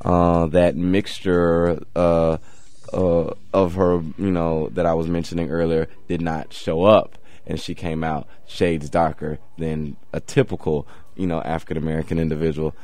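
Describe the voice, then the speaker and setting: A man hosting a talk show, asking questions and telling a story in a lively way that rises with emphasis. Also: low voice, a little slow, no room sound. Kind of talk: interview and anecdote.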